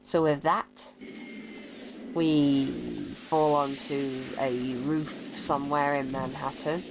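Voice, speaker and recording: Very low-voiced woman chatting over a game. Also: steady tone, slow, gravelly. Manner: informal, blunt. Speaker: gameplay video co-commentator. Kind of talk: casual chat reacting to game cutscenes. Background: game soundtrack music.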